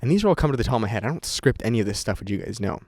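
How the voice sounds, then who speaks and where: A man's voice: lowish voice, animated, very fast, darkish tone, no room sound, studio quality. A young man talking unscripted to his camera, rambling and swearing freely with many restarts. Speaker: content creator vlogger. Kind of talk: unscripted casual talk to camera.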